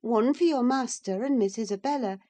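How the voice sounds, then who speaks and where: A woman voicing an impulsive, excitable young lady of the house, speaking high and emphatic in a dramatized storybook reading. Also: moderate pace, close to an everyday voice. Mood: teasing, imperious.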